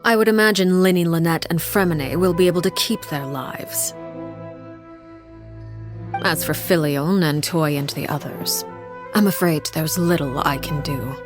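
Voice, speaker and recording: A woman voicing a cold, commanding matriarch who rules an orphan household, in video game dialogue. She speaks in a calm, measured and quietly menacing way. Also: deep voice, over music, natural voice. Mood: cool menace.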